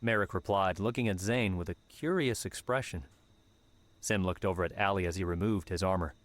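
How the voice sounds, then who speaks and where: A man's voice: sits low, brisk. A man reading dramatic fiction as a scripted audiobook narration.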